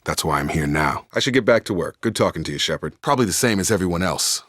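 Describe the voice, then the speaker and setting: Deep-voiced, no-nonsense space soldier. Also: male voice, expressive, fast, a bit bright, dry recording, clean studio sound. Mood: calm, guarded. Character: steady, no-nonsense male space soldier, loyal and guarded. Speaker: video game voice actor. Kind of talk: video game character dialogue.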